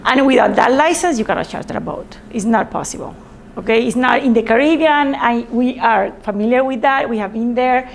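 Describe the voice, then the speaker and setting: A woman with a Spanish accent presents informally to a group. Her voice sits fairly high and has a marked creak. She speaks haltingly, going back to repeat or fix words. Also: a little hiss or hum. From Spain. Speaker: presenter, sailor.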